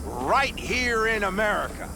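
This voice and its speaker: An actor playing a cynical, teasing veteran nomad smuggler in a video game, with a sardonic, animated delivery. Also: male voice, high-pitched, unhurried, background noise, full character voice. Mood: wry, teasing.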